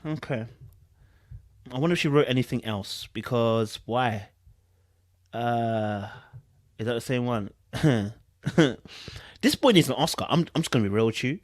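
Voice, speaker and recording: A British man hosting casual online commentary, talking in an incredulous, slangy, animated way to his viewers. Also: medium pitch, measured pace, long pauses, no room sound, clean studio sound.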